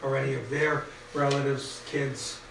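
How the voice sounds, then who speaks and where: A man's voice: mid-range voice, flat delivery, measured pace, vocal fry. A man leads a board meeting in a casual, repetitive way. He sits far from the mic in a small studio room with strong echo, and a faint hiss runs under his voice. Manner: repeats himself, casual. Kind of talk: meeting talk. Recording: very echoey, distant, faint hiss.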